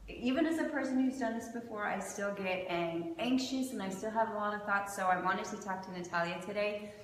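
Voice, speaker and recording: A woman's voice: medium pitch, a little fast. A woman hosting a casual interview show, chatting in an upbeat, enthusiastic and encouraging way. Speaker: show host. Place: echoey indoor clothing shop. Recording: echoey reverberant room, distant, noisy.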